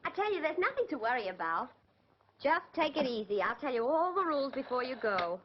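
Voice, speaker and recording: An actress with an American accent playing a brisk, bossy woman who runs an agency, in 1940s film comedy style. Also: high-pitched, sing-song. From USA. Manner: brisk, bossy, fast retorts. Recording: dull old film soundtrack with faint hiss.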